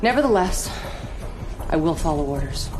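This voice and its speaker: Intense, fanatical cult commander. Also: female voice, deep voice, expressive, normal speed. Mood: stern, doubtful. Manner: intense, dramatic. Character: fanatically devoted, suspicious female cult commander, jealous and intense. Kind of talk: dramatic video game cutscene acting. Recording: dramatic music under the voice.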